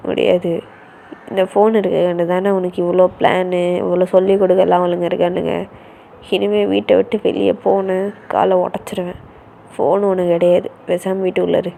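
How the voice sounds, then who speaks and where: A woman's voice: medium pitch, little pitch movement, a little fast, gravelly, dark tone, slight background noise, pitched below the performer's own voice, close to an everyday voice. A woman voicing an angry, controlling older brother who barks threats and orders. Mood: angry threatening. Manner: harsh, threatening, commanding.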